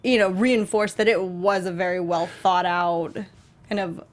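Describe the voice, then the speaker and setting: A woman co-hosting a review show, chatting casually and building her thoughts in loose, halting pieces. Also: fairly low pitch, fairly even intonation. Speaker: co-host of a film and game review show.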